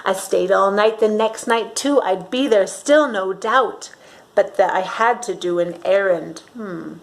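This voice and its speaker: A woman reading a storybook aloud to young children in an expressive, dramatic way, pausing to ask her listeners questions. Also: medium pitch, gravelly, low-quality recording.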